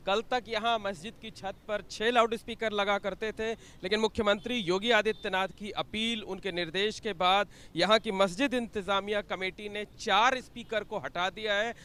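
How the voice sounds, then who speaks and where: A man's voice: high-pitched, fairly even intonation, moderate pace, hardly any pauses, pushed and bright, smooth. A man reporting on location as a television news field reporter. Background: faint outdoor street noise.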